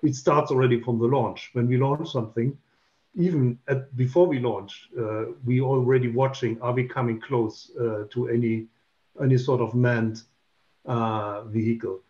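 A man in his fifties, an English speaker, explaining carefully as a panel guest on a video call and pausing on many "uh" fillers. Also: medium pitch, steady tone, warm tone. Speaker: panel guest, space safety expert. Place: home room, indoors. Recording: dull lo-fi call audio.

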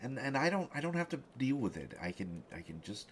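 Man acting flustered, stammering reporter, swooping pitch. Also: very animated, slight background noise, close to an everyday voice. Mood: exasperated, defensive. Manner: stammering, halting, exasperated. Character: flustered, distracted male reporter, jealous and self-defensive. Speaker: voice actor in a solo roleplay audio. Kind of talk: one-sided conversational audio roleplay.

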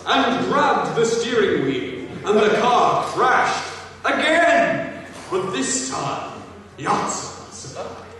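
A man giving a dramatic comic story reading on stage in a theatrical, deliberate manner. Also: very high-pitched, big pitch swings, fairly bright tone. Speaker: convention panel performer. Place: large hall, on stage before an audience. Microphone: distant audience camera. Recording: echoey hall recorded from far off.

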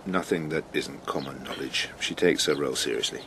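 A man's voice: rumbling low, brisk, vocal fry, fairly bright tone, slight background noise, exaggerated voice. An actor playing a kindly, slightly hesitant male farmer who listens sympathetically, in a naturalistic radio soap. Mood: sympathetic. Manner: hesitant, reassuring.

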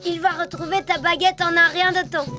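A woman voicing an eager, brave young elf boy in a children's cartoon dub, earnest and quick to exclaim. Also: very high voice, steady tone, over loud music. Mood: helpful, confident. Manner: earnest, exclaiming, hesitant when unsure.

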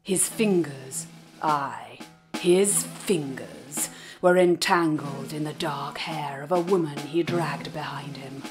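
A woman narrating a story in a theatrical, suspenseful storybook style. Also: low voice. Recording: prominent music behind the voice.

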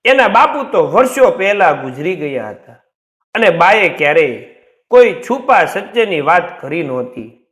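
A man narrating a scripted story in a dramatic, measured and suspenseful way. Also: high voice, very animated, fairly bright tone.

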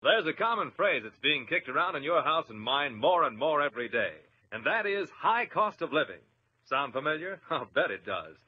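A male announcer from old radio, speaking to children in an avuncular, instructive way. His voice is fairly high and lively in pitch. The recording is muffled, with faint noise. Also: moderate pace. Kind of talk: vintage scripted public service address to children.

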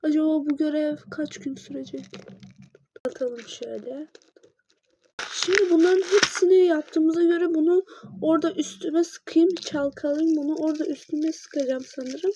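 High, excitable young woman narrating gameplay. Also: moderate pace, a little hiss or hum, low-quality recording. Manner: chatty, narrates each step aloud, excitable bursts. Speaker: player narrating her game. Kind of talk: casual gameplay roleplay narration.

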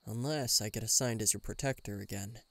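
A man's voice: fairly low pitch, brisk, a bit bright, dry recording. A man playing a guarded government bodyguard in an intimate listener roleplay, dryly teasing and reluctantly tender. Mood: teasing, reserved. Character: gruff, guarded male government bodyguard, secretly fond.

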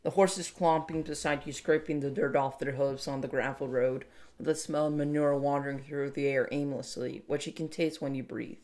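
Narrator, medium pitch, scripted second-person storytelling, descriptive scene-setting. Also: fairly even intonation, moderate pace, a little mellow.

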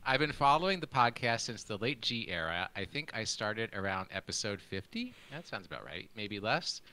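A man's voice: on the low side, big pitch swings, normal speed, pushed and bright. A man hosting a casual podcast over a video call, chatting and reading out a listener's email with laughing, self-deprecating asides. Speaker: podcast host.

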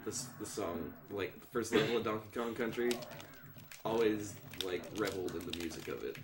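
A man's voice: lowish voice, normal speed. A young man chatting while playing games with friends, telling rambling jokes and stories. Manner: rambling, jokey storyteller. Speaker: friend and player. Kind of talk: casual gaming banter. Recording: clear background noise and clicks.

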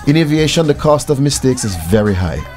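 Radio drama lead: male actor as humble Jamaican airline pilot, mid-pitched, friendly and earnest, music in places. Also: lively intonation, brisk, darkish tone, lightly acted. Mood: earnest, reflective.

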